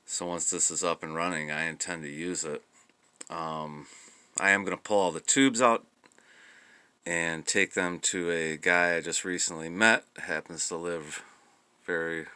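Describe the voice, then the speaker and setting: A man giving casual, unscripted commentary on a hobby repair project, talking in a halting way as he thinks aloud. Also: sits low, bright, forceful tone, smooth, dry recording. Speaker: hobbyist radio restorer presenting his project.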